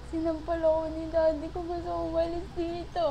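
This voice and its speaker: A woman's voice: very high-pitched, completely flat delivery, brisk, vocal fry, mellow, faint music behind, rough recording. A young woman with a Filipino accent chatting casually as a playful prank caller, with noise behind her. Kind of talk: casual phone chat.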